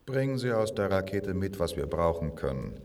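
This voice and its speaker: An actor playing a somber doctor and survivor in a German radio play, speaking gravely and deliberately. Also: male voice, low-pitched, sing-song, a little mellow, full character voice. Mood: wary, practical. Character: somber older male doctor, a survivor in hiding. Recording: long reverberant hall.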